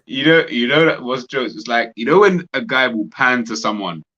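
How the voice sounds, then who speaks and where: A young man, co-hosting a casual football chat, slangy and animated with frequent laughter. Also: medium pitch, normal speed, dull audio, crowd noise. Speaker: podcast co-host.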